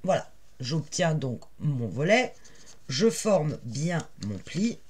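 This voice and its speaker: Raspy-voiced woman with a head cold. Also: low voice, big pitch swings, takes it slowly, a little mellow. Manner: chatty, many "euh" fillers, explains while working. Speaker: crafting tutorial host. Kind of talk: casual step-by-step craft tutorial.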